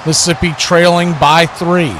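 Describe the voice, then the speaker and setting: A man's voice: fairly high pitch, flat delivery, normal speed. An American man giving live football play-by-play commentary in clipped short phrases. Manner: clipped short phrases calling each action. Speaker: play-by-play announcer for an online watch party stream. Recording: clear background noise.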